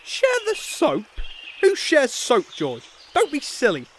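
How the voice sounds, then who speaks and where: A man's voice: very high-pitched, huge pitch swings, takes it slowly, slight background noise, full character voice. A man playing a pompous, stubborn noble knight in a comedy fantasy sketch, haughty and indignant. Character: pompous, blustering noble knight, proud and obstinate.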